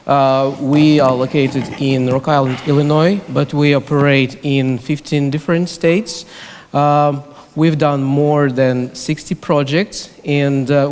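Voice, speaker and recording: A man with a Ukrainian accent introducing himself on a conference panel, halting with many fillers. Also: medium pitch, a little mellow. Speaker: regional center director on a panel. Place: large conference hall. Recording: hall reverb from a conference stage.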